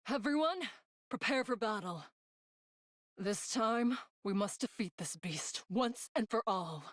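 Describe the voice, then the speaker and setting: A woman's voice: medium pitch, lively intonation, slow pace, no echo, clean studio sound. A woman voicing an earnest, frank young adventurer and loyal companion in an English anime game dub. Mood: urgent.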